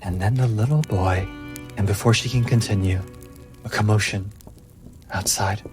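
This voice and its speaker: A man narrating a tabletop fantasy game as game master, with deliberate, suspenseful phrasing and dramatic pauses. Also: fairly low pitch. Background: music.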